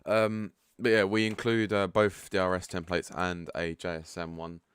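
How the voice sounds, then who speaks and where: Man, developer type, deep croaky morning voice, easygoing tutorial delivery, dry close sound. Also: normal speed, no echo. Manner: relaxed and chatty, frank asides. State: croaky, just woken up. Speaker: product developer giving a setup tutorial. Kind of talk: casual screen-share walkthrough.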